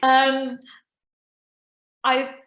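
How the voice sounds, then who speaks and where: A middle-aged woman from Sri Lanka, a consultant doctor, answering interview questions earnestly with many pauses and fillers. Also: high-pitched, completely flat delivery, fairly bright tone, reverberant. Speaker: consultant obstetrician and gynaecologist.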